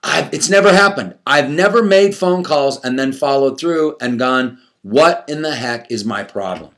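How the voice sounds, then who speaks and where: A man's voice: medium pitch, moderate pace, fairly bright tone. A man giving a conversational motivational coaching talk to an audience, repeating phrases emphatically, asking rhetorical questions and acting out his inner self-talk.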